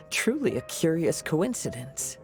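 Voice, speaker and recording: A man voicing a calm, courteous young wandering swordsman in an anime game, with formal, poetic phrasing. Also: fairly high pitch, full character voice. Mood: amused.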